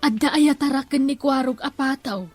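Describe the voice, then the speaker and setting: An actress playing a strict, gossipy village councillor in a Filipino radio comedy drama, scolding, with an Ilocano accent. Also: female voice, high voice, moderate pace, no room sound, faint music behind, lightly acted.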